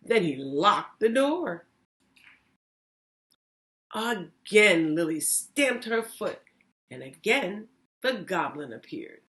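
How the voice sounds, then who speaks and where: A woman hosting storytime, reading a children's story aloud with expressive, deliberate phrasing. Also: medium pitch. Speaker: storytime host, reading teacher.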